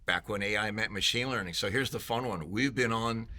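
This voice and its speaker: Bright-voiced salesy man, joking. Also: fairly low pitch, brisk. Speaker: vendor marketing executive. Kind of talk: conference booth interview.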